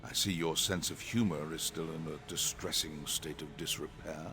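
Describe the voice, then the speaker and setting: An actor playing a dry, menacing assassin with dark humor in a fantasy video game, speaking deadpan. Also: male voice, rumbling low, moderate pace. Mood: dry menace.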